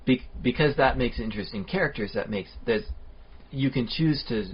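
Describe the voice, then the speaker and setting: A man's voice: medium pitch, brisk, slightly rough. A man co-hosting a podcast, rambling through his points in a casual chat. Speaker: podcast co-host. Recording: dull lo-fi podcast audio.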